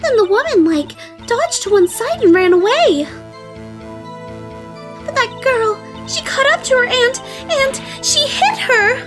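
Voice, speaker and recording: A woman's voice: very high-pitched, lively intonation, a little slow. A woman playing a giggly, flirty ditzy witness. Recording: with background music.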